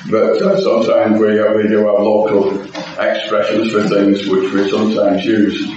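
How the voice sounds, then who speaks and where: A man's voice: fairly low pitch, little pitch movement, moderate pace, a little mellow. Lancashire man, echoey distant hall. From Lancashire, England. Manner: rambling, self-deprecating, frequent restarts. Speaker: bible study contributor. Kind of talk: bible study exposition. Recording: echoey, distant, dull meeting hall recording.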